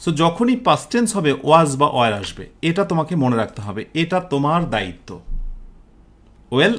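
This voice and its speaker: Bangladeshi guy teacher doing a live lesson, highish voice, really up and down, keeps checking you get it. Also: a bit bright. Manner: instructive, checks understanding often, slows down for English examples. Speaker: English teacher for university admission students. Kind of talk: live class lecture mixing Bengali and English.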